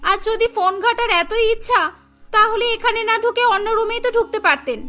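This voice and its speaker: A woman's voice: very high voice, brisk, sharp tone. A woman playing an exasperated young cartoon daughter-in-law, scolding and plaintive. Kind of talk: dramatised cartoon story dialogue. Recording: voice over prominent background music.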